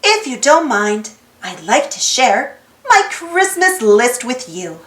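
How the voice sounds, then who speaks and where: A woman reading a children's storybook aloud in an expressive, singsong rhymed delivery. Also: a little high, takes it slowly. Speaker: storybook reader, channel host. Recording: roomy echo with music behind. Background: music.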